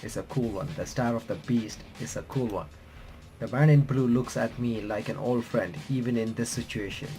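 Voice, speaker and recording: A man reading visual novel text aloud haltingly, with casual reaction commentary. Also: mid-range voice, warm tone. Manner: halting read-aloud, stumbling and rereading phrases. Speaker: let's-play video creator reading a visual novel aloud. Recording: game soundtrack playing behind the voice. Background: visual novel music.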